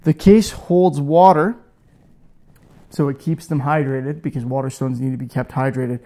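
A man's voice: mid-range voice, fairly even intonation, normal speed, mellow. A man in his thirties hosting a casual woodworking demo in his garage workshop, chatty and self-joking, on a lapel mic. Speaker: woodworking channel host.

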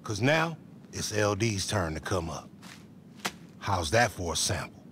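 A man's voice: low voice, sing-song, a little slow, a bit bright, slight background noise, heavily acted. A man acting a brash, aggrieved small-time hustler in a video game, boastful and profane, with an American accent. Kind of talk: video game cutscene dialogue, slangy.

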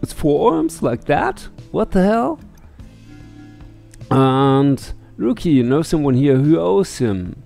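A man's voice: on the high side, big pitch swings, takes it slowly, creaky, warm tone. A middle-aged man doing let's play commentary and reading game text aloud into a headset. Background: game music.

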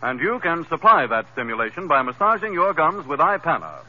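A man working as a 1930s radio announcer, reading commercials with a smooth, earnest delivery. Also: on the high side, big pitch swings, fairly quick, bright, forceful tone. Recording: dull old radio broadcast with faint hiss.

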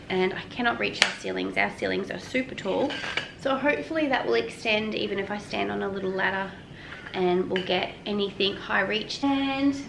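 A woman's voice: mid-range voice, creaky, heavy background noise. A woman, chatting casually to camera at home, rambling with frequent ums. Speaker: homemaking vlogger. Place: home kitchen and laundry room, indoors. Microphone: camera on a tripod at a few feet.

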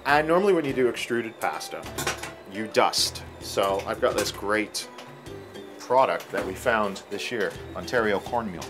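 A middle-aged male chef, talking through a cooking demonstration in a restaurant kitchen in a relaxed, explanatory way with fillers. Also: on the low side, a little slow, music in the background.

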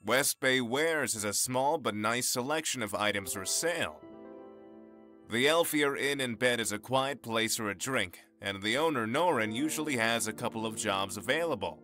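An actor playing a helpful, plain-spoken tax collector in a fantasy game dub. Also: male voice, mid-range voice, animated, normal speed, sharp tone, soft background music. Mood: helpful, matter-of-fact. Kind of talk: video game character dub.

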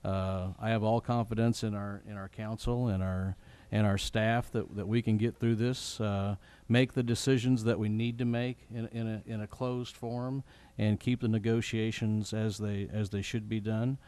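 A man's voice: fairly low pitch, flat delivery, normal speed, hardly any pauses, dark tone, clear, resonant tone. A man presiding over a formal public meeting, putting questions to others and giving remarks. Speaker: presiding chair of a city council meeting.

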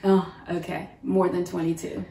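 A woman in her forties, a consultant, joining her daughter's vlog Q&A from a living room couch, witty and quick to laugh. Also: fairly low pitch, little pitch movement, vocal fry, warm tone, slight background noise. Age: 40s. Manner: witty, laughing, fluent business talk. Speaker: guest, consultant, mother. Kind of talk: casual Q&A chat. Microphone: camera mic, from a distance. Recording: roomy, a bit distant.

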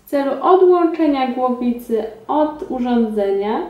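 High-voiced woman instructor in an echoey room. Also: expressive, lo-fi sound. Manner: formal, measured. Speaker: instructor presenting a beauty device. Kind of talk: scripted instructional narration. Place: small indoor beauty treatment room. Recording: roomy echo, distant mic.

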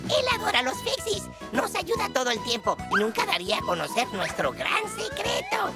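A small, fussy old teacher figure from a kids' cartoon. The voice climbs and swoops with a lot of life. Loud bright music runs underneath. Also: female voice, high voice, huge pitch swings, normal speed, heavily acted. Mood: explaining. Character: kindly old male tiny robot teacher. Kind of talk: cartoon dub.

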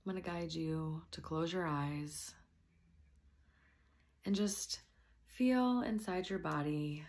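Woman, low voice, slow pace, soft gentle meditation guide, breathy near-whisper at times. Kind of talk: softly spoken guided meditation.

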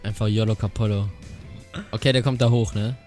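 A young man chatting casually and excitably as a teammate in a video game. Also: on the low side, fairly quick, soft background music. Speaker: gaming teammate. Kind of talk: casual gaming banter.

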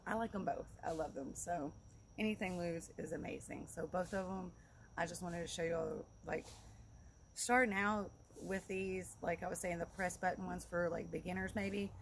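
A woman in her thirties giving a casual, rambling product review to camera outdoors, in an informal way that often repeats itself. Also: on the low side. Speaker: vlogger reviewing fishing gear. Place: outdoors in a leafy yard by a log building. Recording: clear outdoor background noise.

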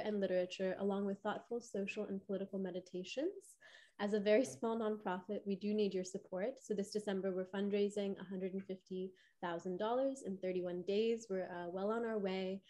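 A young adult woman on a home video call, hosting and reading prepared opening remarks in a clear, steady way. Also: medium pitch. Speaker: host. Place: home room.

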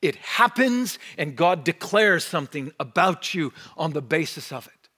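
A man in his forties preaches from behind a church pulpit, his voice sitting high for a man. He gestures broadly and swings from energetic emphasis down to hushed, almost whispered words. The mic is close and picks up every shift in level. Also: measured pace, breathy edge. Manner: animated preaching with wide gestures, dropping to near-whispers on key words. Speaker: pastor. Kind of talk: sermon.

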